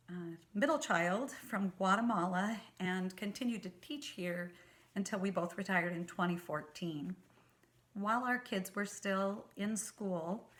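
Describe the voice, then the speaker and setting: A woman in her sixties from Oregon, a retired teacher giving an earnest, measured campaign statement with a few fillers. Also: lowish voice, a bit bright. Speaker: school board candidate.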